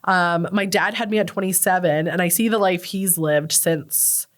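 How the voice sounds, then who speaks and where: A woman's voice: on the low side, steady tone, moderate pace. Chatty, laughing woman in her thirties. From Canada. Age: 30s. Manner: chatty, laughs often, many "like" fillers. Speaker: podcast guest. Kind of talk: casual interview chat.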